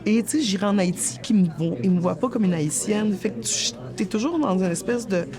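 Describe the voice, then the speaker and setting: A woman's voice: medium pitch, fairly quick. A woman from Quebec with a Quebec French accent, giving personal testimony in conversation. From Quebec, born in Montreal. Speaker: documentary participant. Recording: busy chatter around the voice. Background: crowd chatter.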